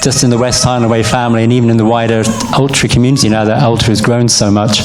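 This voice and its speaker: A man's voice: medium pitch, level tone, fast. A man hosting an on-stage interview at an evening event, chatty and jokey, laughing between phrases. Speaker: event host interviewing a runner. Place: evening event in front of an audience.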